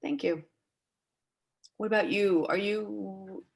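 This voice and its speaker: A woman acting a blunt, nervously polite character in a naturalistic stage reading, with a halting, dry, tactless way of talking. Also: medium pitch, steady tone, gravelly, slightly rough, heavily acted. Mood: polite, brittle. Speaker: amateur or local theatre actor.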